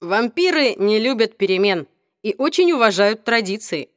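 Спокойный ироничный мальчик-вампир в озвучке чтицы, голос ниже обычного, сухой звук. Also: female voice, medium pitch, sing-song, normal speed, dry recording, studio quality, natural voice. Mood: wry. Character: teenage vampire boy, calm and wry. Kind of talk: audiobook reading.

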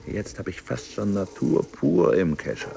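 Very deep, gravelly gym braggart. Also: male voice, animated, a little slow, background noise, exaggerated voice. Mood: musing. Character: brooding, self-improving male gym member, earnestly boastful. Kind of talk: radio play monologue.

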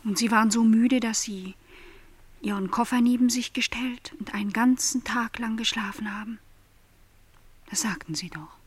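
An actress playing an earnest, lonely, vulnerable young housemaid in a literary radio drama, breathy and softly insistent as she questions. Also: fairly high pitch, normal speed, no room sound. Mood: anxious, intent. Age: young adult. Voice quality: breathy, often near a whisper.

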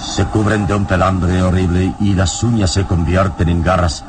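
Actor as deep-voiced, commanding adventure hero. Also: male voice, steady tone, lightly acted. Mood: grave, explanatory. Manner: deliberate, sententious, dramatic. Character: calm, wise, commanding male adventurer hero. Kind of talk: old radio serial acting. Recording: noisy old radio serial recording.

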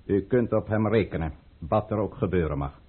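Husky commanding count, phone-like sound. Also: male voice, low-pitched, normal speed. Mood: solemn, commanding. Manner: formal, solemn, authoritative oratory. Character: commanding, calculating aristocratic count leading a conspiracy. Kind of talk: Dutch radio play acting. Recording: thin, phone-like old radio recording.

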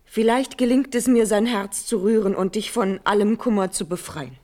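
A woman's voice: mid-range voice, moderate pace, darkish tone, natural voice. An actress playing a devoted young noblewoman in a classical verse drama, speaking with solemn, elevated declamation. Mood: solemn, tender. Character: devoted, brave young noblewoman pleading for her cousin.

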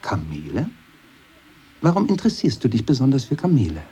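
A man's voice: mid-range voice, huge pitch swings, fairly quick, dark tone, breathy edge, exaggerated voice. A man playing a pedantic psychologist in a radio drama, patronising and methodical. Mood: pedantic, insistent. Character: pedantic male psychologist missing a child's distress.

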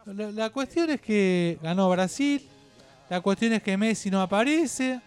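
An Argentine man co-hosting a radio show in rambling, opinionated chat, halting, digressing and repeating himself. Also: high-pitched, smooth, faint music behind.

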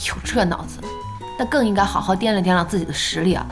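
A woman's voice: medium pitch, quick pace, natural voice. An actress playing a spirited young woman boss, playful, blunt and teasing, in a radio drama. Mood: teasing, scornful. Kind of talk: Chinese radio drama dialogue. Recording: music behind the voice.

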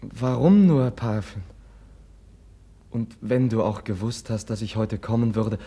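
A gentle, earnest young prince. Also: male voice, on the low side, a little slow. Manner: soft, sincere, searching questions. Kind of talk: 1950s radio drama acting. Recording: old radio play, husky tone.

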